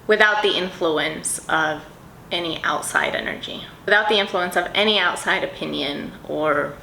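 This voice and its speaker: Perfume vlogger, woman, bright chatty voice, echoey room with faint background noise. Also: fairly low pitch, normal speed, gravelly. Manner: bubbly, rambling, dreamy descriptions with many fillers. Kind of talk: casual vlog chat.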